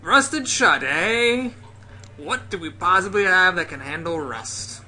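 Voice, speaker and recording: A man's voice: high-pitched, expressive, slow pace, bright, forceful tone. A man hosting a casual game play-through, explaining things step by step to his viewers.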